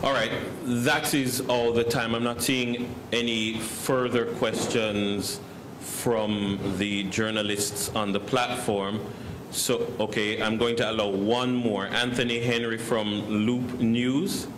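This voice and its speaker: A man moderating a press briefing, formally relaying journalists' questions in a measured, official manner. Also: medium pitch, flat delivery. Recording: noisy online press briefing audio.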